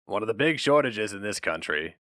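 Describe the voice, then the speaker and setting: A man's voice: on the low side, expressive, a little fast, fairly bright tone, no echo, clean studio sound. A man voicing a polite, unimpressed young male interviewer with a pleasant, philosophical manner.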